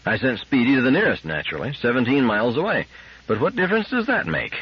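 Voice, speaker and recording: An agitated male engineer in a science fiction story, played by a man reading aloud. He is bitter and exasperated, leaning hard on his words with wide swings of pitch. The recording is slightly dull. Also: sing-song, fast, breathy edge. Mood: tense. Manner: exasperated, emphatic.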